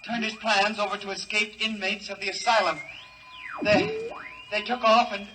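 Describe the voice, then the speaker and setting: An actor playing a desperate kidnapped professor in a 1950s radio drama, gasping out an urgent warning in halting, broken phrases. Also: male voice, sits high, animated, music in the background. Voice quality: strained, breathless. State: short of breath, suffocating. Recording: noisy vintage radio play recording.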